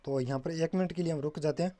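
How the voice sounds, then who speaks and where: A man's voice: fairly high pitch, fast, warm tone. A man presenting a casual step-by-step tech tutorial, often addressing viewers as guys and mixing in English terms.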